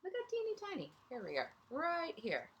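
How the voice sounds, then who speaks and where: A woman's voice: sits high, wildly swooping pitch, moderate pace, a bit bright, highs cut off. A woman teacher reading a picture book aloud to young children, expressively, with asides and questions to her listeners.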